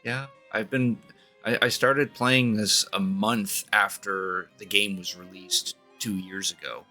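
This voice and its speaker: A man's voice: fairly low pitch, steady tone, slow, bright, forceful tone. A man giving casual live-stream commentary while playing a video game. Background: faint game music.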